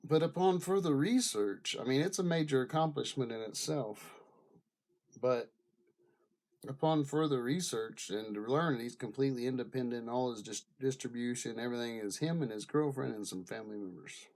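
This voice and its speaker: Halting, casual male vlogger. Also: medium pitch, darkish tone. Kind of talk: rambling casual commentary to camera.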